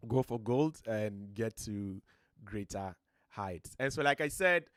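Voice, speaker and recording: A Ghanaian man with a deep voice, giving earnest, motivational talk as host of a live stream from his home studio, his voice a little strained. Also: a bit bright, no echo. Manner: earnest, motivational, emphatic rises. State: voice slightly strained.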